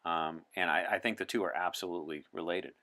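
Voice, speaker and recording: Male interview guest, deep voice with a forceful edge, articulate and opinionated. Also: fairly even intonation, fast. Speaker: podcast guest. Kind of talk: in-character interview as a time traveler from 1964.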